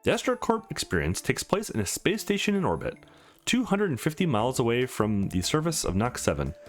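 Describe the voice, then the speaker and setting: A man's voice: medium pitch, big pitch swings, fairly quick, soft background music, natural voice. A man voicing a polite, matter-of-fact attendant at a space station dating service in a game, speaking formally. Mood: polite, informative. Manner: formal, scripted customer-service phrasing.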